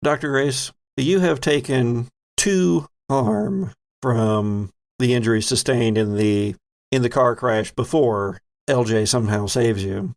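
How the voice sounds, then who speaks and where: A man narrating a tabletop horror game as game master, with deliberate, dramatic storytelling. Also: mid-range voice, creaky. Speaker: game master, podcast host.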